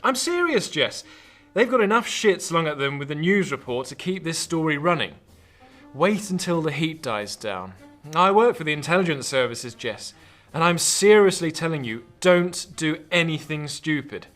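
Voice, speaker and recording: A man's voice: on the high side, sing-song, normal speed, a bit bright. A man acting in a radio drama as an anxious, protective intelligence officer, urgent and cautioning. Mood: worried, pleading. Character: anxious, earnest male intelligence officer, protective of his lover. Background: music.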